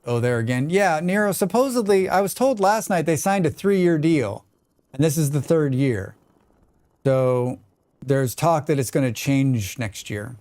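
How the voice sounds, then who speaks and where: A man's voice: a little high, expressive, measured pace, a little mellow, no echo. A man with a plain American accent chatting casually on a live game stream, rambling and talking back to his chat. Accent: General American. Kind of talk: casual chat while gaming.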